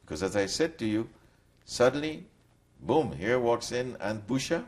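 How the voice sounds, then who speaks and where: An older man from Poland, giving a reflective, halting recorded testimony in an interview. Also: medium pitch, very animated, a little mellow.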